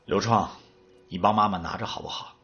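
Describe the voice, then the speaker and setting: A man's voice: fairly low pitch, fairly even intonation, a little fast, pushed and bright, muffled recording. An actor playing a composed male official in an audio drama. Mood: solemn, kind. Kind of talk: Chinese audio drama acting.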